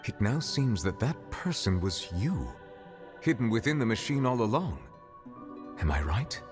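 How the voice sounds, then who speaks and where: A man voicing a grave, courtly, reserved judge in a video game, speaking in a formal and measured way. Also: medium pitch, very animated, music in the background. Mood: probing, composed.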